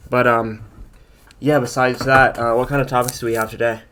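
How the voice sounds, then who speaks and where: A man's voice: mid-range voice, little pitch movement, a little fast, sharp tone, slight background noise. A man co-hosting a casual tech podcast, opinionated and rambling as he thinks aloud. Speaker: podcast co-host.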